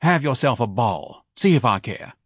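A man voicing a wealthy middle-aged wife who needles her husband with sarcastic, drawn-out nagging. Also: mid-range voice, sing-song, a bit bright, slightly rough, no room sound, studio quality. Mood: needling.

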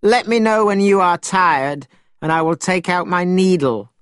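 A man voicing a brash, proud, hot-tempered monkey king for a storybook, with an emphatic, cocky, drawn-out delivery. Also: sits high, a bit bright, clean tone, no echo, studio quality, pitched above the performer's own voice. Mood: defiant boasting. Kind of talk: storybook character voice.

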